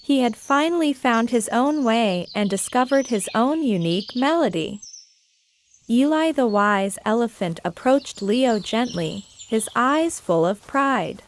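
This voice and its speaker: A woman's voice: a little high, animated, unhurried, a little hiss or hum. A woman reading a scripted bedtime story for children as the storyteller. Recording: faint insects and birdsong behind. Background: crickets, birds, nature ambience.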